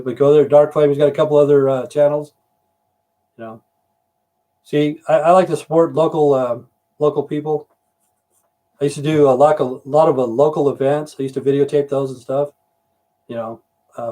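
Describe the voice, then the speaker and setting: A man's voice: mid-range voice, warm tone. A middle-aged man from Idaho, rambling and swearing through a casual livestream with frequent "you know" fillers. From Idaho, USA. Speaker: livestream host.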